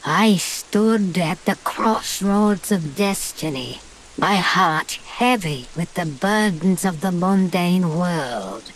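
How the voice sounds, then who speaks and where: A woman's voice: fairly low pitch, slow pace, low-quality recording. A British woman playing a haughty, power-hungry witch in a horror-comedy radio play, dramatic and sardonic, with a British accent. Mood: ominous, exultant. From Britain. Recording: hissy track with rain noise. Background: rain and hiss.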